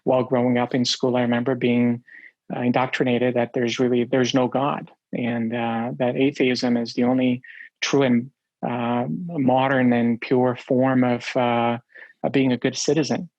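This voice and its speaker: A middle-aged man from Romania tells his story as an interview guest. He talks at an ordinary pitch, pausing often and filling gaps with uh and um. The sound is dry and slightly dull. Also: little pitch movement, a little mellow, no room sound. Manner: halting, many uh and um fillers.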